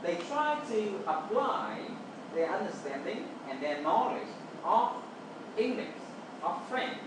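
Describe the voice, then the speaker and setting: A lecturer from Vietnam explains to a class in Vietnamese-accented speech, a native speaker of Vietnamese who often checks that listeners follow. The voice is picked up from a distance in a strongly reverberant room. Clear noise sits behind the words. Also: medium pitch, big pitch swings, slow pace. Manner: checks understanding with "okay" and "right". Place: roomy indoor space, distant mic, noise behind. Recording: echoey room from far off, noisy.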